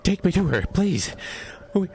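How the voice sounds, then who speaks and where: A man playing a desperate, shivering lover in an audio drama, stammering and pleading with a gravelly creak. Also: high voice, big pitch swings, measured pace, crowd noise, heavily acted.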